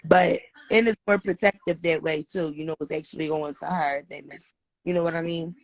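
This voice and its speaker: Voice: medium pitch, steady tone, moderate pace, dry recording, rough recording. A host giving earnest, encouraging advice in a casual chat, repeating phrases for emphasis.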